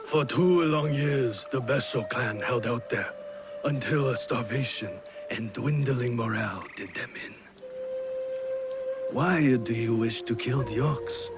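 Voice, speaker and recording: A man playing a grave, weary Japanese lord in a video game, speaking in a measured, formal and solemn way. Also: medium pitch, over loud music, lightly acted. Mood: weary, guarded. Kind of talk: dramatic video game cutscene acting.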